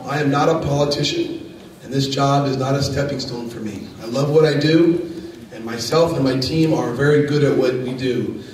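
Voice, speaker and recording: A man's voice: medium pitch, normal speed. A man losing his voice, giving a firm, emphatic campaign speech as the current county attorney. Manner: firm, emphatic, repeats key points. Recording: echoey room from far off, faint noise.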